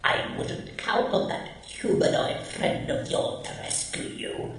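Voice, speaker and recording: Harsh, echoing megalomaniac cyborg villain. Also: male voice, a bit bright. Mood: gloating menace. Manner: menacing, pompous, slow deliberate threats. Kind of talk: radio drama villain acting. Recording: echoey fan-made audio drama.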